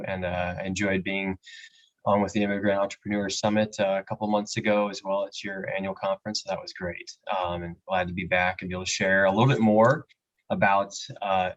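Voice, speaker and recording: Low-voiced man explaining at a webinar. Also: dull audio. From Peru. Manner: measured, explanatory, frequent fillers. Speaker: immigration attorney, guest speaker. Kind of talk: webinar presentation. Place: home or office with a map on the wall.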